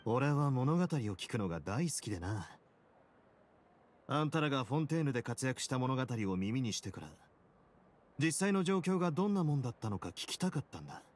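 A male actor playing a composed, confident prison boss in a Japanese game, speaking in a measured, dryly courteous way. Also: medium pitch, sing-song, a little mellow. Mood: composed, sincere. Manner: measured, cool, casually self-assured. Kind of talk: Japanese anime game voice acting. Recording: studio voice over game music.

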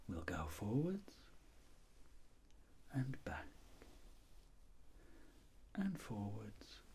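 A middle-aged man speaking in soft whispered ASMR, playing a clinic doctor giving gentle, unhurried instructions. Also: on the low side, very animated, slight background noise.

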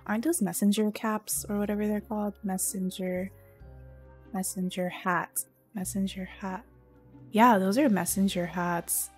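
A woman streaming a game with casual, deadpan, mock-critical reactions in a slightly hoarse voice. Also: mid-range voice, takes it slowly, faint music behind.

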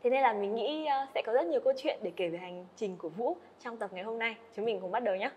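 A woman's voice: fairly high pitch, quick pace, lo-fi sound, a little hiss or hum. A young woman hosting a podcast, asking questions and giving short "ừ" acknowledgements. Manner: warm-up questions, frequent "ừ" backchannels. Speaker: podcast host. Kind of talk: podcast interview. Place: indoor studio set.

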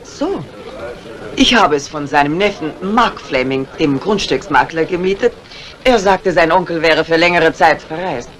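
A woman's voice: sits low, normal speed, close to an everyday voice. Self-assured lady crime novelist played by an actress. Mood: courteous. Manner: composed, dismissive, courteous. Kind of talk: 1950s German radio drama acting.

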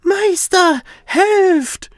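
A man's voice: very high-pitched, extremely slow, no room sound, studio quality, pitched above the performer's own voice, full character voice. A man voicing an alarmed magic harp in a children's fairy tale, calling out shrilly.

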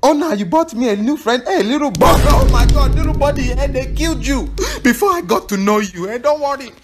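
High, animated comic voiceover man. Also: brisk, music in the background. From Africa. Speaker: comedian doing animal voiceovers.

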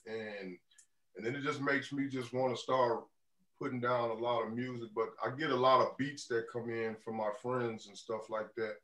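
A man in his thirties with a deep voice and a loose, easygoing way of talking. His tone is bright and forward. The call sound is roomy, as if he sits a little back from his mic. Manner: laid-back, loose, lots of "you know what I'm saying". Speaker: rapper guest. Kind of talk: casual interview answers. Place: indoor room on a video call. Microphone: video call. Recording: roomy video call audio, a bit distant.

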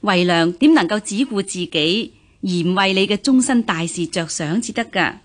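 A woman acting a worried, gentle middle-aged mother of an official household in a Cantonese radio drama, sighing and solicitous. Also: medium pitch, moderate pace, lightly acted. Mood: concerned.

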